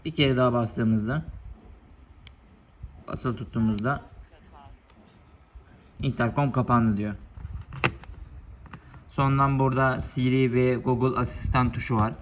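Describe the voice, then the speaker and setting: A man presenting a product for a motorcycle shop, explaining its buttons one by one in a steady, formal way, recorded indoors under a building. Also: medium pitch, measured pace, long pauses, slight background noise. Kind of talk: product demonstration.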